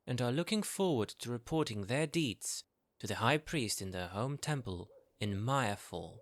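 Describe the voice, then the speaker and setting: Low-voiced man narrating fantasy, dry sound. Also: fairly bright tone, no echo. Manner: measured storytelling. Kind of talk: scripted fantasy audio drama narration.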